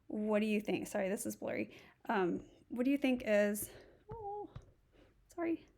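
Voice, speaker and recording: A woman's voice: fairly high pitch, vocal fry, a little mellow. A middle-aged woman teaching Spanish, a native English speaker, who thinks aloud and walks through each step of a recorded screen lesson. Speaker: Spanish instructor.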